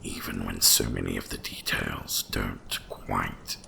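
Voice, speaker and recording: A man voicing an ancient, cursed winter dragon in a hushed ASMR fantasy monologue, with a gravelly, breathy rumble and slow, mysterious storytelling. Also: steady tone, exaggerated voice. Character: ancient cursed male winter dragon, wise, once proud, brooding.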